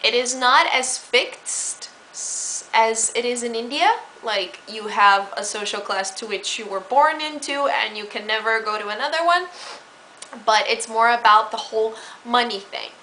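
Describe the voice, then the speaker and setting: A woman talks casually about her home country, restarting her sentences and filling gaps with "um" and "like." Her voice is bright and sits a little high. A faint background noise runs under the recording. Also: lively intonation, measured pace, poor audio. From Brazil. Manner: chatty, explanatory, with fillers and restarts. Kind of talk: casual spoken talk.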